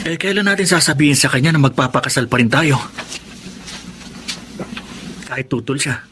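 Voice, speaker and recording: A man's voice: mid-range voice, expressive, normal speed, a bit bright, close to an everyday voice. An actor playing an earnest, devoted young man in a Filipino radio drama, polite and a little hesitant. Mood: tender, hopeful. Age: young adult. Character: earnest, devoted young suitor and respectful son. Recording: noisy background ambience.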